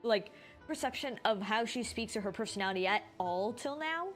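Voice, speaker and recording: Slightly high-voiced woman streamer. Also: lively intonation, moderate pace. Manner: informal, musing aloud. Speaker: game streamer. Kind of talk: casual live game commentary. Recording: game music behind the voice.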